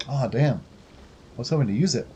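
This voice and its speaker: A man's voice: mid-range voice, lively intonation, moderate pace, highs cut off, background noise. A man giving casual, chatty commentary while he plays a video game. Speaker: gamer playing and commenting. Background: game music.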